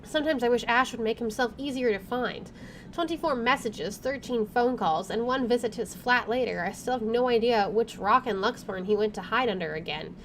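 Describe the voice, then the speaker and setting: A woman with a fairly high voice narrates a visual novel as a gaming host. Her reading is expressive and story-like. A faint bed of game ambience runs behind her. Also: a little fast, slight background noise.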